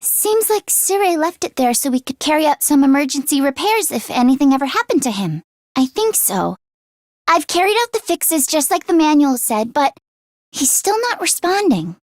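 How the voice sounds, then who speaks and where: A woman voicing an earnest, squeamish teenage schoolgirl mechanic in an anime game, expressive and quick to exclaim. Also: high-pitched, no room sound, clean studio sound. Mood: earnest, explaining.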